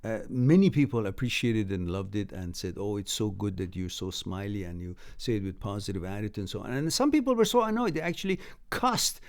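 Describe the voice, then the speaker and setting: A man's voice: on the low side, lively intonation, fairly quick, hardly any pauses. A man whose first language is Farsi hosts a live stream, reading viewer comments aloud and giving blunt, breezy advice, laughing often between sentences.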